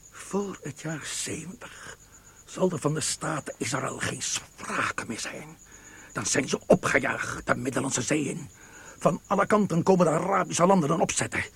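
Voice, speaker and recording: Radio drama: proud, scheming officer type, lighter-pitched, smugly emphatic, slight background hiss and insects. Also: male voice, animated, unhurried, gravelly. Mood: menacing, confident. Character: boastful, scheming military man showing off secret airfield. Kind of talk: Dutch radio drama acting.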